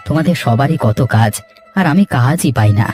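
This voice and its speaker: A man's voice: mid-range voice, expressive, moderate pace, over music, lightly acted. A man voicing an idle, carefree, argumentative village son with a grumbling, dismissive manner in a cartoon. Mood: friendly, familiar. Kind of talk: Bengali cartoon dialogue.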